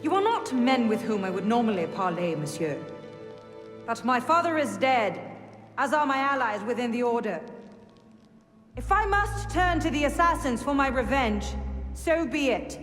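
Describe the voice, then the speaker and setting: A woman's voice: on the high side, very animated, moderate pace, fairly bright tone, in a large hall, faint music behind. An actress playing a proud young noblewoman seeking revenge, speaking formally and pointedly in a dramatic video game. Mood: formal, resolute.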